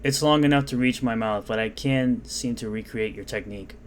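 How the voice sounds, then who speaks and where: A man's voice: medium pitch, fairly quick. Sarcastic man ranting over faint hiss. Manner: sarcastic, mocking, unscripted ranting. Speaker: commentary YouTuber. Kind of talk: reading and rebutting comments.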